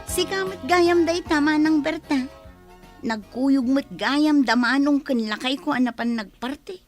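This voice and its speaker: An actress with an Ilocano accent playing a disapproving, God-fearing village wife in a comedy radio drama. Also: female voice, high voice, a little slow, music in the background.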